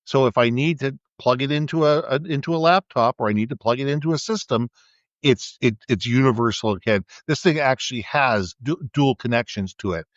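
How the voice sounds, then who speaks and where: A man co-hosting a casual podcast, talking at length in a booming voice, with frequent restarts, into a USB mic kept a little away from his face. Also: brisk, no room sound, lo-fi sound. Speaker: podcast co-host. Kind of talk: casual chat. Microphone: USB condenser mic with windscreen, held back from the mouth.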